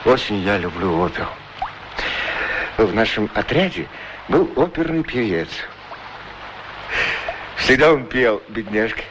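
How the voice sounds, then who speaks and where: A middle-aged street shoeshiner in a radio drama, wistful and good-natured. His speech is humble and folksy, with lively swings in pitch. There is steady background noise on the recording. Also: unhurried, long pauses, low-quality recording. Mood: wistful, musing. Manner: ruminative, humble, folksy, courteous. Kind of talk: radio play acting, musing monologue and dialogue.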